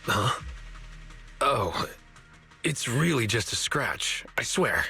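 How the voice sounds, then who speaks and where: A man playing a gruff, battle-scarred but loyal fighter in a video game, with a husky voice. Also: mid-range voice, sing-song, slow pace, pushed and bright, soft background music, full character voice. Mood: wry, dismissive.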